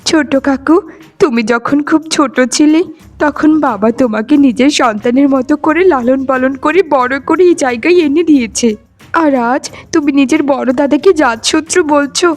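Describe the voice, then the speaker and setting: A woman's voice: high-pitched, normal speed, lightly acted. A woman voicing a poor, earnest, dutiful young daughter in a cartoon, speaking with a pleading manner. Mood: hurt, reproachful. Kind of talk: cartoon voice acting. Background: faint music.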